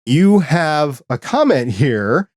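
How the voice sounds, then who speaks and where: A man hosting a podcast interview in a casual, measured way, with a quiet voice and a solid low end, on a broadcast microphone in a home studio. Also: very animated, no room sound. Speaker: podcast host. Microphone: dynamic broadcast microphone.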